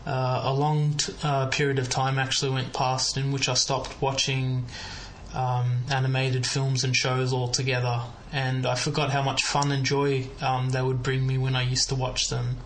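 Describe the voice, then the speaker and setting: A young Australian man leaving an earnest, polite and halting listener message. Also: medium pitch, rough recording. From Melbourne, Australia. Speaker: listener calling in. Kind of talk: prepared voicemail message. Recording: clear steady background noise.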